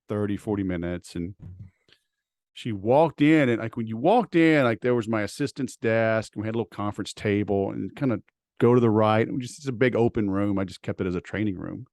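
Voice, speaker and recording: A man's voice: fairly low pitch, darkish tone, no room sound, studio quality. A man hosting a podcast, chatting casually and saying "like" and "dude" a lot. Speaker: podcast host. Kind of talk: casual interview talk.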